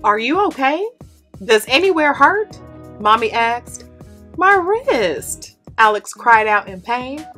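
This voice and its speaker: A young woman, host of the channel, reading a children's picture book aloud in an expressive, animated way. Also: a little high, takes it slowly, faint music behind. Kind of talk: read-aloud storytelling. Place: indoor studio with plain orange backdrop.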